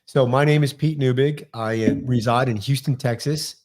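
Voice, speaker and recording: A man in his fifties with a New York accent, talking fast as a guest on a podcast. His voice sits at an ordinary middle pitch, and he is energetic and articulate. His talk is casual and full of drive. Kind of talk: casual interview chat.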